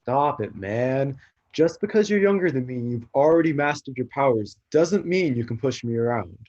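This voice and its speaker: A man's voice: medium pitch, lively intonation, moderate pace, a little mellow, dull audio, natural voice. A man playing a clumsy young god of destruction, earnest and frustrated, in a staged play reading. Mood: annoyed.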